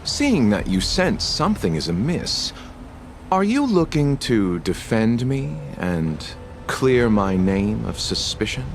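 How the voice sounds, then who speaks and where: A man voicing a suave, enigmatic traveling merchant in a video game, polite and theatrical with gentle irony. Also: fairly low pitch, big pitch swings, takes it slowly, slight background noise, full character voice. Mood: wry.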